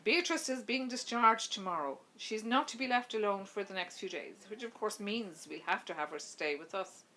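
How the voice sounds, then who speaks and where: A woman's voice: mid-range voice, lively intonation, normal speed, hardly any pauses, pushed and bright, slight background noise, voice pushed up. A woman voicing an exasperated, self-important husband in an audiobook story, with a clipped, insistent manner. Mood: glum, put out.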